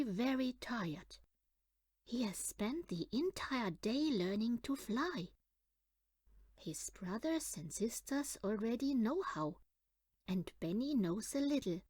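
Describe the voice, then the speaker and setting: A woman reading a children's picture book aloud as an audiobook, in an expressive, deliberate storytelling manner. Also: a little high, dry recording, studio quality. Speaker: audiobook reader.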